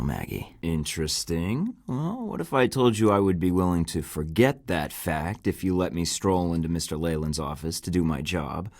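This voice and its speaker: A cocky wisecracking young spy, low and dry. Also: male voice, darkish tone, dry recording. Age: young adult. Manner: dry, sarcastic quips. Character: cocky young male secret agent, wisecracking. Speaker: video game protagonist. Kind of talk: video game voice acting. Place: recording studio booth. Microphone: close studio mic.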